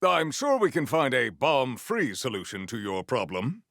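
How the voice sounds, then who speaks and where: A male actor voicing a proud, potent warrior in a video game, with formal, grandiose, deadpan delivery. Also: medium pitch, normal speed, gravelly, no echo, studio quality, heavily acted. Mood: solemn, grandiose. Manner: formal, stilted, grandiose diction. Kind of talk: scripted video game character lines.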